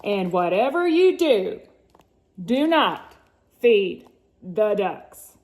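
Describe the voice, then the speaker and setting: A woman voicing an alarmed storybook camp kid who gives dire warnings in a drawn-out, emphatic way. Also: mid-range voice, very animated, a little mellow, heavily acted. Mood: urgent warning.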